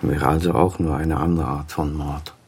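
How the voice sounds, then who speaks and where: A man's voice: rumbling low, steady tone, normal speed, a little mellow, slightly rough, heavily acted. An actor playing a weary, defensive middle-aged doctor and father in a radio crime drama, curt and evasive. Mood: troubled, guilt-ridden.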